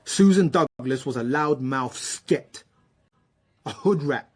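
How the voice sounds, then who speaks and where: An actor playing a cocky, menacing young murder suspect, slangy and taunting, in a radio drama. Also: male voice, medium pitch, lively intonation, slow, slightly rough. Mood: cold, boastful. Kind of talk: naturalistic radio drama acting.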